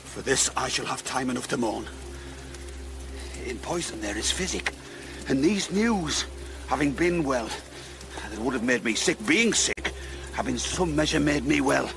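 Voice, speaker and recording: An actor playing a grieving, enraged old earl in a Shakespearean radio drama, declaiming verse with passion. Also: male voice, medium pitch, expressive, creaky, rough recording. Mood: grieving fury. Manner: declamatory, impassioned, slow verse delivery. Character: grief-stricken, enraged old earl, a sick rebel father. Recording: music behind the voice.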